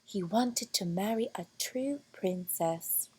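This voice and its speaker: A woman narrating a children's fairy tale from a storybook, with deliberate, expressive phrasing. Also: medium pitch, husky, low-quality recording. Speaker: storybook reader.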